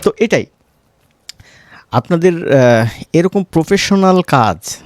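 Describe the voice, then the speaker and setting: A man's voice: on the high side, lively intonation, takes it slowly, no room sound, clean studio sound. A man with a Bangladeshi Bengali accent giving an informal tutorial, conversational and often checking in with short question tags. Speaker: tutorial instructor. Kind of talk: informal screen-recorded software tutorial.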